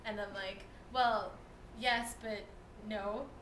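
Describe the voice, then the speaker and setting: A woman's voice: mid-range voice, steady tone, slow, a bit bright. A nineteen-year-old woman from the Philippines, a student, answering interview questions in a chatty way and laughing easily. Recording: echoey room with noticeable noise.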